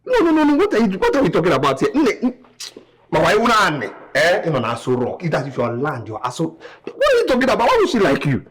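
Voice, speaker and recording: Man as lovestruck young Nigerian guy in a comedy skit, exclamatory, bit high-pitched. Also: wildly swooping pitch, quick pace. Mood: doting. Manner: teasing, exclamatory, mixes in local words. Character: smitten young man, playful and flattering. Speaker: actor.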